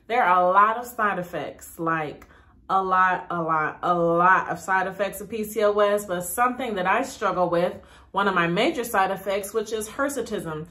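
A woman's voice: mid-range voice, fairly bright tone. A woman in her thirties vlogging in a casual, encouraging big-sister way, upbeat and repeating words for emphasis. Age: 30s. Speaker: YouTube vlogger. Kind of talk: casual encouraging vlog talk.

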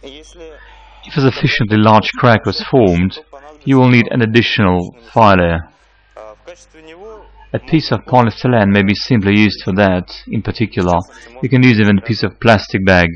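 A man in his forties giving a measured, scripted how-to explanation as a technical consultant. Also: fairly low pitch, dull audio. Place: electronics workshop, indoors.

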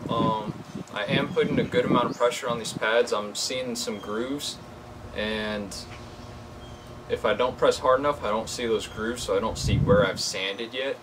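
A man in his twenties with a fairly low voice explains a job in casual how-to vlog style. He is recorded outdoors, with clearly audible background noise around his voice. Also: a little slow. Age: 20s. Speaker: DIY video host. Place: outdoors beside a shed. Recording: clearly noisy outdoor ambient hum.

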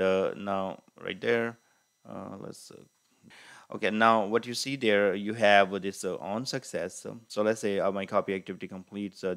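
A man presenting an informal screen-share software tutorial, explaining in a halting, hesitant way and correcting himself as he goes. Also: sits low, vocal fry. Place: voice-over on a screen recording.

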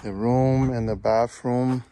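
A man talks slowly and haltingly outdoors on a walled farm plot, filming with a handheld phone. His pitch sits in the middle, and his speech is full of "uh"s. A faint hiss of outdoor noise runs underneath. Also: steady tone. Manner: halting, many fillers, pleading for subscribers. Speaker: vlogger giving a farm tour. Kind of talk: casual walkthrough narration.

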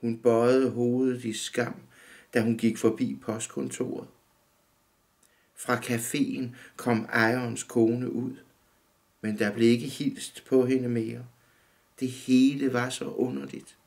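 Man, 50s, author reading his own prose; gravelly, measured, close to the camera indoors. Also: mid-range voice. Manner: calm, measured reading, often looking down at the text. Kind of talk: literary reading. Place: dim room indoors.

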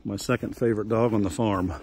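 Relaxed middle-aged man touring a snowy farm. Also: on the low side, quick pace, slight background noise. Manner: relaxed, folksy, chatty. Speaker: farmer giving a tour. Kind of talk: casual walking tour commentary. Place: outdoors on a snowy farm and woods.

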